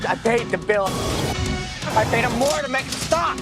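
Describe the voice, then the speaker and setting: An actress as an anguished thriller heroine, high-pitched and lively in tone, speaking slowly. Loud film music plays behind her. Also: distant mic, lightly acted. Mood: distressed, pleading. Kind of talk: film acting.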